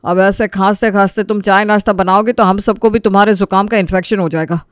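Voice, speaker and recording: A man playing a kindly, concerned middle-aged father-in-law in an animated moral story, speaking in a soothing way. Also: high-pitched, fast talker, clean tone, dry recording, clean studio sound, close to an everyday voice. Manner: caring, soothing.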